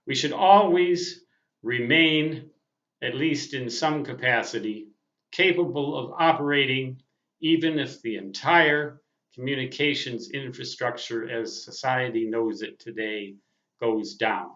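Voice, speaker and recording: An older man, a ham radio hobbyist, giving an unscripted monologue to viewers in deliberate, wordy, self-deprecating phrases. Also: mid-range voice, a bit bright. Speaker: amateur radio operator and vlogger. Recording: dull, roomy, echoing sound.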